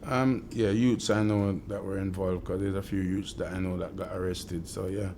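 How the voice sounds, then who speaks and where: A middle-aged man with a Jamaican accent speaks in a low, fairly level voice. He is earnest and reflective, often pausing with ums and restarting his sentences. A faint hiss sits under the recording. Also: little pitch movement, vocal fry. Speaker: community shop owner telling his life story. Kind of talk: personal storytelling interview.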